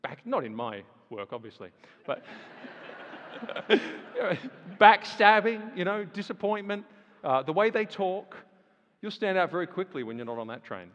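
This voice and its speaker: A man preaching a sermon, firing rhetorical questions and swinging from loud emphasis to hushed lines. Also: sits high, sing-song, moderate pace, muffled recording. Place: large church hall. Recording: long reverb of a large hall.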